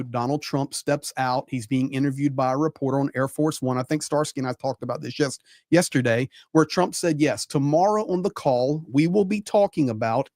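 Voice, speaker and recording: A man's voice: medium pitch, darkish tone, no room sound, clean studio sound. A man giving conversational live political commentary as a panel guest, repeating phrases for emphasis.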